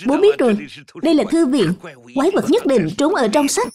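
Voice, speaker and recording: A woman voicing a patient cartoon father in a children's dub, explaining things in a gently firm way. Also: sits high, big pitch swings, fairly quick. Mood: encouraging. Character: patient, instructive cartoon father of a small boy.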